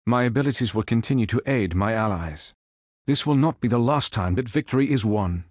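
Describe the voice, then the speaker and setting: A man playing a calm, noble android superhero in a video game, speaking in formal, precise, stilted robotic phrases. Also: medium pitch, brisk, dry recording, clean studio sound, close to an everyday voice. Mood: measured, analytical. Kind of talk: video game character voice lines.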